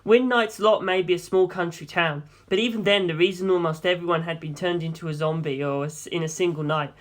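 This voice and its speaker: A woman takes the part of a young man narrating an audiobook tale in the first person. The voice is pitched down, earnest and restrained, with a guarded seriousness. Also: steady tone, brisk, lightly acted. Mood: grave.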